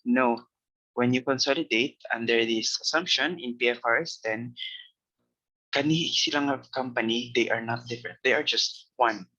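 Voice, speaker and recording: A man with a Filipino accent gives an informal lecture, explaining from notes and thinking aloud with hesitant pauses and checks on his listeners. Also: mid-range voice, bright, forceful tone, muffled recording. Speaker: accounting lecturer.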